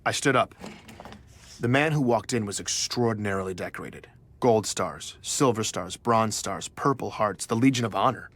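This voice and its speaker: A man's voice: on the low side, normal speed, a bit bright. An actor playing an earnest, easily awed male journalist who narrates his story in a scripted audio drama. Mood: relieved, awed.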